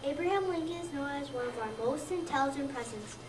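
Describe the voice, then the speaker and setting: A young child of about seven or eight, a second grader, reading a scripted narration aloud in a careful, halting way. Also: sits high, expressive. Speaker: second-grade student reader. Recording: roomy, distant mic, noisy background.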